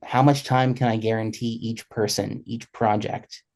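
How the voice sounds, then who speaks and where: A man in his twenties with a fairly low voice talks reflectively as a panelist. He is earnest and halting, often restarting a phrase to put it more exactly. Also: little pitch movement, a little mellow. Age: 20s. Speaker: panelist, freelance musician.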